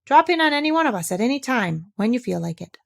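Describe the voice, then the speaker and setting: Woman voicing a brisk, bossy rabbit, animated pitch. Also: vocal fry, heavily acted. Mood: brisk, scolding. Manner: brisk, practical, correcting. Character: brisk, bossy, matter-of-fact male rabbit. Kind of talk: children's storybook read aloud.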